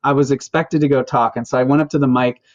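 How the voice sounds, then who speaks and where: A man from the United States, a guest filmmaker, telling an animated, frank story in casual conversation. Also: mid-range voice, fast talker, muffled recording.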